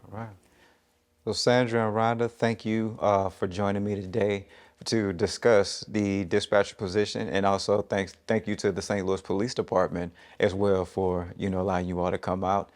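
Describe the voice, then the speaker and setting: Low-voiced man hosting an interview. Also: little pitch movement, normal speed, a little mellow. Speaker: show host interviewer. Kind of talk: interview hosting on a local TV show.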